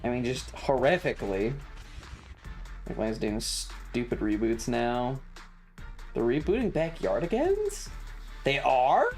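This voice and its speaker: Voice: medium pitch, sing-song, a little slow, long pauses, darkish tone, soft background music. A streamer chatting casually while gaming, with foul-mouthed slang and playful exclamations. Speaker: variety streamer. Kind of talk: casual gaming livestream chat.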